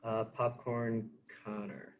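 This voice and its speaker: A man's voice: lowish voice, flat delivery, slow pace, dull audio. A young man from upstate New York introducing himself as a new college student, brief and plain. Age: young adult.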